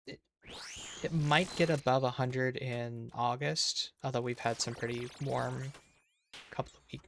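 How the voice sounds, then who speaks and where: A chatty man in his thirties with a Minnesotan accent talks casually with viewers during a game stream, often restarting mid-sentence. His voice has a gravelly fry, and a faint hiss sits under the recording. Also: medium pitch, fairly bright tone. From Minnesota, USA. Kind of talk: casual chat with viewers while gaming.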